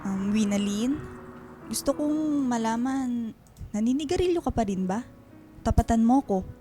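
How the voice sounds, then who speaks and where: A woman's voice: fairly high pitch, lively intonation, a little slow, over music. A woman acting a caring, insistent eldest daughter in a Filipino radio drama, coaxing and nagging with respect. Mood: worried, reproving.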